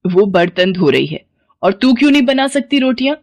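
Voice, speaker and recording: A woman's voice: a little high, big pitch swings, moderate pace, a little mellow, no room sound. A woman voicing an exasperated, scolding middle-aged mother. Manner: nagging, exasperated.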